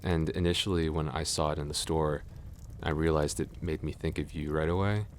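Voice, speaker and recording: A young man playing a gentle, nervous best friend in an ASMR boyfriend roleplay, speaking softly and hesitantly in a tender, reassuring way. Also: fairly even intonation, a little fast, slight background noise. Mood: tender, earnest. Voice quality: very deep, creaky.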